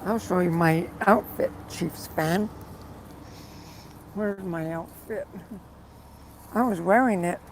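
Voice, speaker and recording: A live streamer with a rough, gravelly voice, chatting casually and rambling while reading viewers' comments aloud and repeating words. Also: mid-range voice, slow pace, long pauses, background noise.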